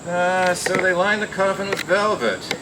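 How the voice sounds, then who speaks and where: An actor playing a world-weary, wisecracking private detective with a flippant, hard-boiled manner in an old-time radio drama. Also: male voice, high-pitched, unhurried. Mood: groggy, sardonic. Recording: noisy, hissy radio play recording.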